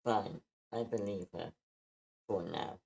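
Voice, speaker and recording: A woman's voice: rumbling low, slow, creaky, a little mellow, breathy edge, exaggerated voice. A woman playing a haughty, tough sci-fi woman warrior who speaks in commands at a table read. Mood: haughty, guarded. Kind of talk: live screenplay table read. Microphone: remote online meeting.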